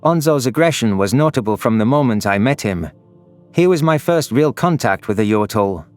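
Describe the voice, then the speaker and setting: A man reads first-person science fiction narration in a medium-pitched voice. Faint music plays behind his scripted storytelling. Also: lively intonation, normal speed, darkish tone. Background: background music.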